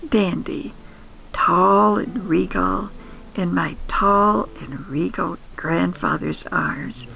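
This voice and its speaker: An older woman telling nostalgic first-person stories in an intimate, wistful, sentimental manner, with musing pauses. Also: fairly low pitch, vocal fry, bright, forceful tone, slight background noise, poor audio. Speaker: podcast host and storyteller.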